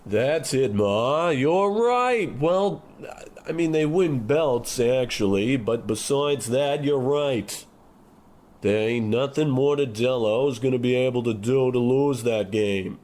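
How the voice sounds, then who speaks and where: An actor playing a morose, earnest small-time gangster son in a comic old-time radio play. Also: male voice, medium pitch, animated, slow pace, a little mellow. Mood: determined. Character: glum small-time gangster son who loves boxing.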